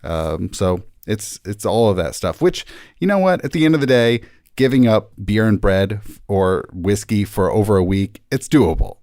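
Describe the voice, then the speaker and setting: A man's voice: on the low side, animated, moderate pace, darkish tone, no echo, studio quality. A man speaking as a rabbi guest in a casual podcast conversation, explaining things in a conversational way.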